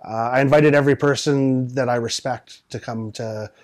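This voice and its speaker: A man, giving earnest, measured answers in an interview as a tattoo artist and event organizer. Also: medium pitch, fairly even intonation, a little mellow. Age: 40s. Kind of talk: interview answers, reflective conversation. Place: small indoor tattoo studio room.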